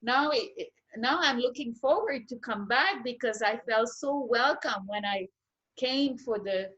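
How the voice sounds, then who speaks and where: A middle-aged woman whose first language is French, chatting as a guest cook, halting and restarting her sentences as she searches for words. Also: a little high, fairly bright tone, slightly rough, highs cut off. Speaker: schoolteacher. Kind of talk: casual interview chat.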